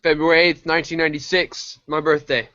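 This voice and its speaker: Energetic teenage guy, bright lo-fi sound. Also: fairly high pitch, fast talker, dry recording. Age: teens. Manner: energetic, rambling storyteller. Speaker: challenge group member. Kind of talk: casual chat on a live video call.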